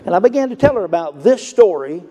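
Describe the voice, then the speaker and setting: Southern preacher guy, kinda high voice but mellow, big echoey hall. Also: big pitch swings, fast talker. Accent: Southern US, Lower Alabama. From southern Alabama. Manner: storytelling, rising to emphatic preaching. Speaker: pastor. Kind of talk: sermon.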